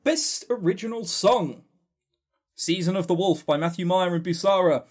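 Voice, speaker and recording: A man's voice: high-pitched, normal speed, a little mellow. A man co-hosting a podcast in casual chat, rambling along with sarcastic, dry humour. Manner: swears casually. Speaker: podcast co-host. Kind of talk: casual chat running through award lists.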